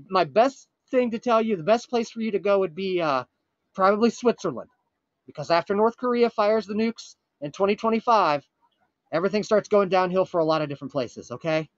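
A man playing a frantic, earnest time traveler from the future on a prank call, urgent, pleading and halting with dramatic pauses. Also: high-pitched, no room sound, muffled recording. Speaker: prank caller. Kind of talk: improvised comic prank phone call.

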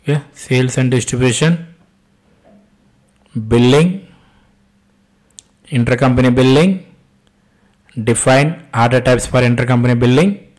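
Indian accent guy doing a screen share software tutorial, medium pitch, step by step, repeats stuff, asks himself little questions. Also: unhurried, long pauses, rough recording. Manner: repetitive, punctuated with short questions to himself. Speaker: software instructor.

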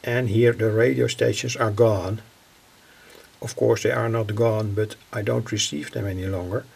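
A man giving an unscripted hands-on demonstration as a hobbyist, speaking haltingly in short phrases. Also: fairly low pitch, fairly even intonation, creaky, darkish tone, a little hiss or hum. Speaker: hobbyist radio builder presenting. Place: indoor home workbench. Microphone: handheld camera's built-in microphone. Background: shortwave radio playing.

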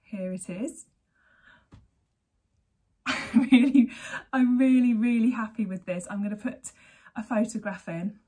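A woman's voice: fairly high pitch, takes it slowly, pauses often, dark tone. A woman in her thirties from England, chatting casually to camera as host of a knitting podcast from her living room, rambling and laughing often. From Berkshire, England. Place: sunny living room at home. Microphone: camera at desk distance.

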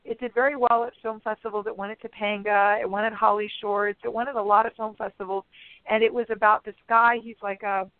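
A woman guest in a casual interview, talking in a chatty, rambling way full of fillers, her voice a bit raspy. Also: medium pitch, flat delivery, brisk, no room sound. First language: English. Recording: phone-line radio sound.

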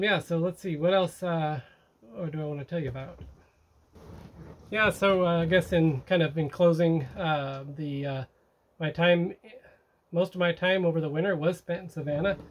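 Rambling middle-aged man, slightly high voice. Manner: rambling, many fillers and restarts, easygoing. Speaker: sailing vlog host. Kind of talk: casual narrated recap to camera. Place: inside a parked motorhome, small room.

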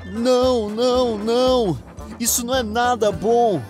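A man's voice: very high voice, unhurried, a little mellow, faint music behind. A man voicing a fussy, fretful male steam engine in a children's cartoon dub, whiny and dramatic, with a Brazilian accent.

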